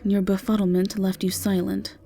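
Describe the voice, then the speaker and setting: A woman's voice: medium pitch, flat delivery, normal speed, vocal fry, mellow. A woman narrating a scripted fantasy audio roleplay as a storyteller.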